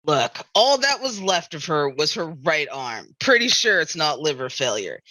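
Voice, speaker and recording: A woman's voice: low-pitched, sing-song, normal speed, sharp tone, dry recording, exaggerated voice. A woman reading the part of a worried, exasperated beach-town sheriff in a musical comedy table read, pointed and incredulous. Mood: exasperated, dry.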